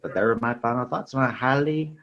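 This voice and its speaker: A man's voice: medium pitch, a little fast, lo-fi sound, background noise. A man speaking as a podcast host in a casual film discussion, often tacking small verbal tags onto his sentences. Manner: repeats verbal tags like "sort of thing".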